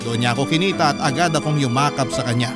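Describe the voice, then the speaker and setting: A man's voice: mid-range voice, fast. A man with a Filipino accent narrating a personal love story dramatically in the first person for a radio drama. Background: prominent music bed.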